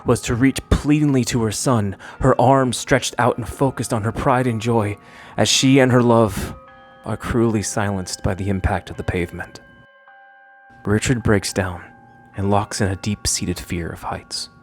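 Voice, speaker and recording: A man's voice: lowish voice, fairly even intonation, a little slow, soft background music. A man reading scripted narration for a fan video essay.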